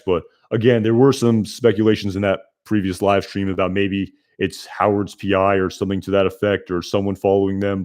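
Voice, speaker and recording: Young male streamer, loud and a bit low-pitched, rambling and casual, lots of fillers. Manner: rambling, many fillers and restarts. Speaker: YouTube reviewer hosting a live stream. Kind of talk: casual live-stream chat reading comments.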